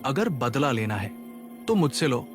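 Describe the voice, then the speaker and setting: A man voicing a frightened but earnest young villager, dramatic and pleading, in an animated horror story. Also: medium pitch, a little slow, soft background music. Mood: desperate resolve.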